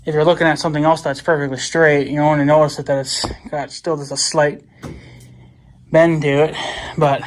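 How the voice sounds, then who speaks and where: A man with a voice a little higher than most talks in a casual, unscripted vlog style. He rambles and thinks out loud as he goes, working through things in plain, mildly profane everyday speech. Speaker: vlogger. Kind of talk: casual off-the-cuff DIY vlog chat.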